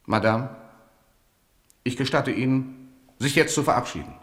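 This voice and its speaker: A man's voice: mid-range voice, a little slow, fairly bright tone, close to an everyday voice. An actor in a German radio play, playing a cold, regal king with formal condescension. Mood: icily polite.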